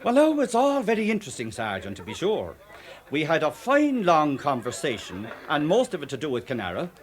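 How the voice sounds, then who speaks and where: An actor with an Irish accent playing a genial, talkative, canny police superintendent in a radio drama. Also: male voice, fairly high pitch, wildly swooping pitch, brisk. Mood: genial, storytelling. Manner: folksy, cajoling, garrulous.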